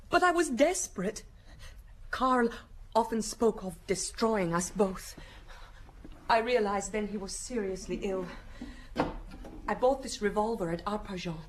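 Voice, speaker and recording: A woman's voice: mid-range voice, a little hiss or hum, close to an everyday voice. An actress in an audio drama playing an anxious, desperate woman confiding in the police.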